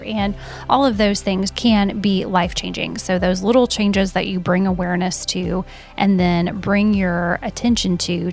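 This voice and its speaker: A woman giving measured, explanatory commentary as an expert guest. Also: medium pitch, creaky. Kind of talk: reflective commentary.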